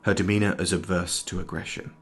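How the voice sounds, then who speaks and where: A man playing an erudite, formal nineteenth-century doctor in an audio drama, speaking in precise, ornate sentences with a husky voice. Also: low voice, fairly even intonation, fast, a bit bright, slight background noise. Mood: grave, introspective. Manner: precise, ornate, old-fashioned diction. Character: erudite, formal 19th-century doctor, inwardly fearful, sharp-eyed. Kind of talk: scripted audio drama, journal narration and dialogue.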